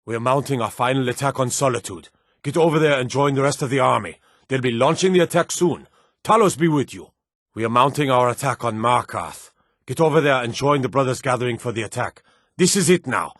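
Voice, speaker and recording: A man playing a stern, battle-hardened military officer in a fantasy video game, brisk, commanding and gruffly matter-of-fact as he gives orders. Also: mid-range voice, no echo, studio quality, natural voice.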